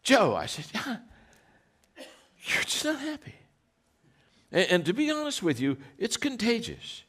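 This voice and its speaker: A deep-voiced man gives a motivational talk full of personal stories. His pitch swings widely as he acts out quotes, and he often restarts his sentences. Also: wildly swooping pitch, measured pace, fairly bright tone, husky. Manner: storytelling with repeated restarts and acted quotes. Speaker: leadership speaker.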